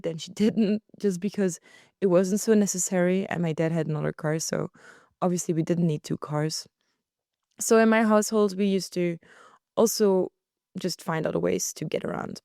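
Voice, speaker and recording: Relaxed Belgian woman podcasting from home. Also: on the low side, dry recording, studio quality. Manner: relaxed, chatty, laughs easily. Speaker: podcast host. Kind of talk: casual solo podcast chat. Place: living room at home.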